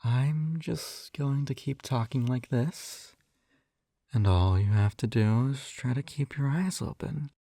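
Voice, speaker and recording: Casting: male actor as a smugly tender hypnotist captor for ASMR audio drama; velvety, mellow, unhurried, teasing, recorded close and dry. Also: medium pitch, no room sound. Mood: teasing, coaxing. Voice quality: soft, velvety, breathy whispers at times. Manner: slow coaxing, teasing tag questions.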